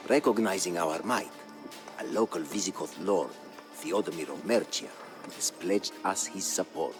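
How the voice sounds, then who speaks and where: Male actor playing solemn Berber chronicler, very low voice, measured dramatic game narration, proud and formal, loud music behind. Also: lightly acted. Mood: solemn, intent. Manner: grave, measured, formal storytelling. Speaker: campaign story narrator. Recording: studio voice-over with music behind.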